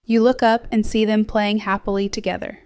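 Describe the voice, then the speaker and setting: Clear, smooth woman guiding sleep meditation. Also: medium pitch, level tone, no room sound, clean studio sound. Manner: slow, gentle, soothing delivery with soft phrase endings. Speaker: hypnosis guide. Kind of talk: guided sleep meditation, scripted hypnosis narration.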